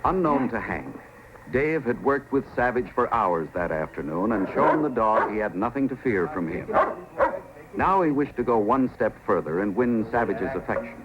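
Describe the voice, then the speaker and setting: An actor playing the solemn storyteller of an old-time radio adventure, reading scripted narration. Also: male voice, fairly low pitch, lively intonation, normal speed, natural voice. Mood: measured, solemn. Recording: noisy, dull old radio recording.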